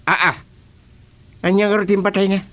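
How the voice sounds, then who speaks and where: A man's voice: high voice, level tone, moderate pace, fairly bright tone. A man with an Ilocano accent playing a village chairman in a radio comedy-drama, talking conversationally with many interjections. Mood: concerned. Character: male village chairman, reacting with drawn-out exclamations. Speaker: actor in a radio serial.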